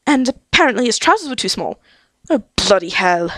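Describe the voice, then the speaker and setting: A woman reading fiction aloud as an amateur audiobook-style narrator, with wry, dramatic emphasis and drawn-out key words. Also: a little high, big pitch swings, fairly bright tone, no echo. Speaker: amateur fan fiction reader. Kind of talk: expressive scripted story narration.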